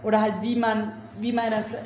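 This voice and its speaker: A woman's voice: fairly high pitch, monotone, fast talker. A young woman on a youth jury, talking casually about a play and often hunting for words. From Germany. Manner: hesitant, searching for words. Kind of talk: casual spoken review. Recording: roomy echo, faint noise.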